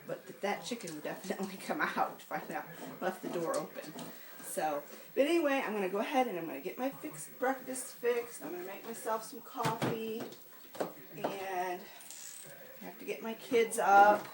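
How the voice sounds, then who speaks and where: A woman vlogging casually at home with a raspy, hoarse voice from allergies, chatty and rambling with plenty of ums. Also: medium pitch, moderate pace, reverberant, recorded from a distance, noisy background. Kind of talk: casual rambling vlog chat. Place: home and outdoor animal pens. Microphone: handheld camera, self-filmed.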